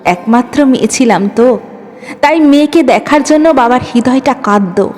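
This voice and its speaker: Woman acting hurt young wife, high and reverberant. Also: lively intonation, moderate pace, darkish tone. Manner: pleading, reproachful. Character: long-suffering young wife, hurt, protective of her father. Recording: long reverb, music underneath.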